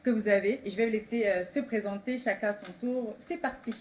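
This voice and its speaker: A woman's voice: medium pitch, fairly quick. A woman hosting a casual group discussion in an enthusiastic manner. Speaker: host. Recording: clearly noisy room recording.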